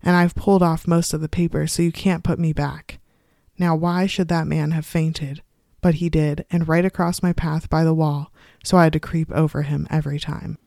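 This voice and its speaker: A reader voicing an unhinged, secretive, defiant confined woman in a measured, confiding first-person literary story reading. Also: mid-range voice, little pitch movement, gravelly, a little mellow, no echo, clean studio sound, close to an everyday voice. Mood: triumphant, defiant.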